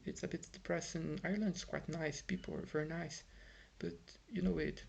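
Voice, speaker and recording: Man, Brazilian accent, Portuguese native speaker, husky, slightly high voice, rambling frustrated vlog. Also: fairly even intonation, normal speed, a little mellow. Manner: frustrated, rambling with many fillers and restarts. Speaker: vlogger. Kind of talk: casual vlog monologue. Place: home in Ireland.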